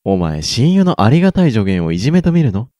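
A man's voice: medium pitch, sing-song, fairly quick, no room sound, clean studio sound, close to an everyday voice. A young man playing a teasing, supportive schoolboy in a radio drama. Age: young adult. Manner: playful, gently needling. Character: teasing, supportive schoolboy best friend Ayato. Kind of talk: Japanese student radio drama acting.

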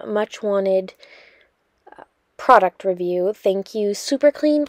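A woman's voice: mid-range voice, dark tone, no echo, studio quality. A young woman narrating her cleaning vlog in a chatty, rambling voice-over full of fillers. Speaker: cleaning vlogger.

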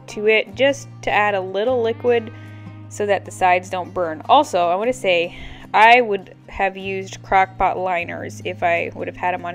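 An American woman in her thirties narrating a casual homemaking vlog in a chatty, informal way. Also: fairly low pitch. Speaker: homemaking vlogger. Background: background music.

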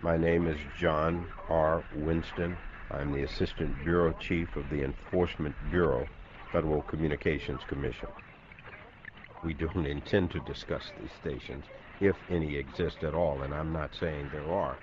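A man's voice: a bass voice, fairly even intonation, normal speed. A man giving a formal, guarded statement as a government official, in a muffled recording. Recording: muffled, with crowd-like noise.

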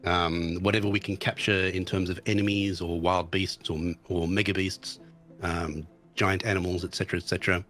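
Low-voiced man talking through a game, slightly thick. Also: little pitch movement, normal speed, fairly bright tone, soft background music. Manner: hesitant, many restarts and ums. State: recovering from tonsillitis. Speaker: guest streamer. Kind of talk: casual chat about a game.

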